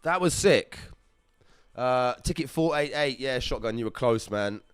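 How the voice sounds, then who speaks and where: Brief: male stream host, smooth and clear, chatty and excitable, medium pitch. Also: unhurried, dry recording. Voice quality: upbeat, chatty, slangy. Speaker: host of a live car prize draw. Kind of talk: casual live-stream chat.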